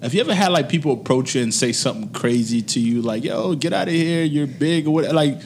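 Male host, casual chat, jokey, rambling delivery, faint low rumble in the background. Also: expressive, fairly quick. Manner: joking, rambling, full of restarts. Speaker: podcast host.

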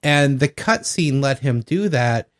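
A man's voice: mid-range voice, animated, a little slow, fairly bright tone. A man with a mid-range voice speaks as a podcast co-host. His delivery is casual and explanatory, like someone laying out his own experience in an informal discussion. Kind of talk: casual discussion of tabletop role-playing games.

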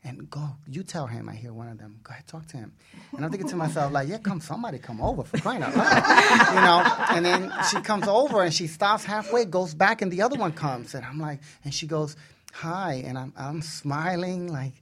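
A man's voice: a little high, expressive, a little fast, very noisy. A man co-hosting a talk show, telling anecdotes in a casual, jokey, self-deprecating way.

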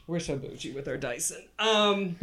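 Male podcast host, relaxed and chatty, slightly higher voice than most men. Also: normal speed. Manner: laid-back, chatty, laughs easily. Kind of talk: casual chat.

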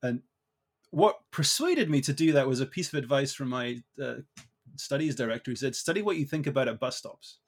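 A man's voice: mid-range voice, lively intonation, a little fast. Scottish man, thoughtful middle-aged guest. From Scotland. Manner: thoughtful, with frequent fillers and restarts. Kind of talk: conversational interview answers.

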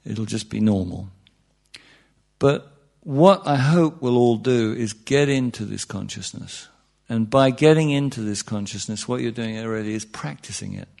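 A man's voice: lowish voice, moderate pace, creaky, a little mellow. A man giving an informal, joking talk as a meditation teacher, often checking in with his listeners. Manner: conversational, joking, checks in with "yeah?" and "you know". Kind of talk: informal spiritual talk to a group.

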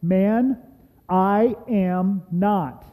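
A man reading a defensive disciple, emphatic and deliberate, in a church scripture drama. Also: high voice, mellow. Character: defensive male disciple denying his master. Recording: dull, reverberant church sound.

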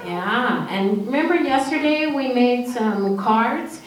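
A woman's voice: on the high side, lively intonation, slow pace. A woman speaks simply and encouragingly as she leads a children's message at church, asking questions and affirming answers. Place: church, during a service. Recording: echoey church, distant mic, faint noise.

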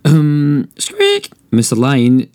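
Man doing a squeaky, timid little mouse. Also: wildly swooping pitch, drawn-out words, a little mellow, exaggerated voice. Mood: nervous.

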